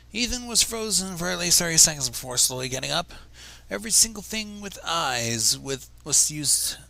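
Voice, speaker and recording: A man's voice: a little high, gravelly, sharp tone, low-quality recording. A man reading a story aloud with casual asides, stumbling over words and going back over them. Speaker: fan fiction reader.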